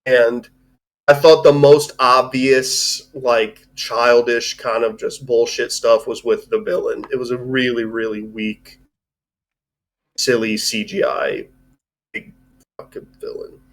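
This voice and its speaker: Man, mid-pitched, casual review chat, halting, rambling, loose sweary phrasing. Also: little pitch movement. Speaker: movie review show host. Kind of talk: casual chat, film discussion.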